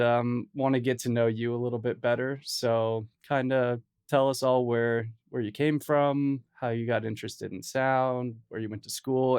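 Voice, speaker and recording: Laid-back gravelly podcast host. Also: male voice, mid-range voice, darkish tone. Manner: laid-back, casual, lots of "like" and "um". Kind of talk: casual interview chat.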